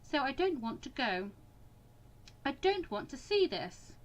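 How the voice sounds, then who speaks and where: A woman voicing an anxious, wryly sarcastic bookish teenage girl in an expressive, introspective visual novel reading. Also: sits high, unhurried. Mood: anguished.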